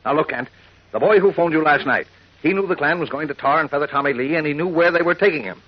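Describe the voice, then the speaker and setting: Gruff husky newspaper boss, indignant, talks fast, old radio. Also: male voice, a little high. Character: blustery, indignant crusading newspaper chief. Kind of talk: 1940s radio acting. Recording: muffled vintage radio recording.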